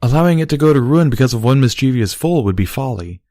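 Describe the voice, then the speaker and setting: A man voicing a female immortal pony princess, a formal and dutiful younger sister who argues in measured, stilted diction in a storybook reading. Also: mid-range voice, lively intonation, warm tone, dry recording, studio quality, voice pushed up, lightly acted. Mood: earnest.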